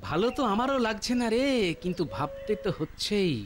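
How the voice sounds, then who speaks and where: An actor voicing a calm, clever, grandfatherly jester in a cartoon, who speaks with quiet assurance. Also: high voice, a little fast, noisy background. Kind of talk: cartoon voice acting. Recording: studio dub with background music.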